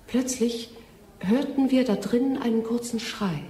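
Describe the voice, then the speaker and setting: An actress playing a composed young lady giving measured testimony in a German radio drama. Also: on the high side, mellow, slight background noise, close to an everyday voice. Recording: echoing courtroom-like room.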